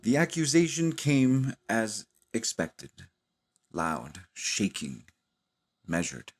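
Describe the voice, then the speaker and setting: A man narrating a cinematic audio drama in a solemn, dramatic manner with deliberate pauses. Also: on the low side, wildly swooping pitch, husky. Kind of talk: slow cinematic scripted narration.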